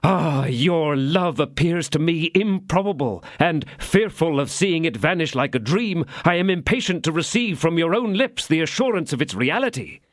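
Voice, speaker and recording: Man voicing a young male swordsman, ardent, flattering, sentimental, lifted voice, very lively. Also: on the high side, moderate pace, few pauses, no room sound, studio quality, pitched above the performer's own voice, natural voice. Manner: theatrical, sentimental pleading.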